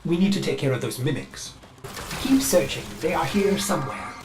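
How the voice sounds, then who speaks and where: Video game AI companion: fussy, devoted servant, animated intonation, precise and earnest advice. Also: male voice, on the high side, normal speed, background noise. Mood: alert. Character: fussy, loyal male ship artificial intelligence servant.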